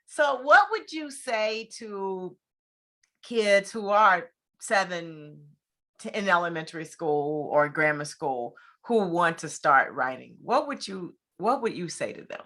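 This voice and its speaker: Bright-voiced woman hosting a casual interview. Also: fairly low pitch, lively intonation, slow. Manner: chuckles often, playful. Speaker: interviewer, show host.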